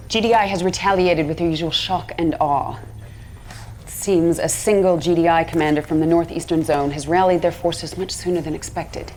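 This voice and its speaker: An actress playing a shrewd, stern general in a game cutscene, measured and authoritative. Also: female voice, deep voice, darkish tone, a little hiss or hum, close to an everyday voice. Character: stern, ambitious female general, shrewd and guarded. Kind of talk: dramatic game cutscene acting.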